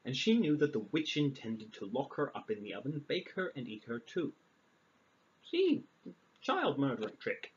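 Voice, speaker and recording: A man reading a fairy tale aloud, breaking in with dry, sarcastic deadpan asides. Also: mid-range voice, normal speed. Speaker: storyteller. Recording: dull lo-fi audio, clear background noise.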